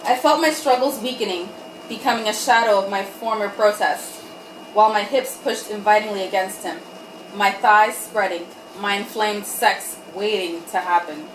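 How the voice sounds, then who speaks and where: A woman's voice: medium pitch, normal speed. A tipsy woman giving an irreverent, giggly dramatic reading, hosting for a group and breaking off for asides. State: tipsy from wine. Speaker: reader and host of a comic group reading. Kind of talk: dramatic reading of erotic fiction with joking asides. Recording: roomy, distant mic, faint background noise.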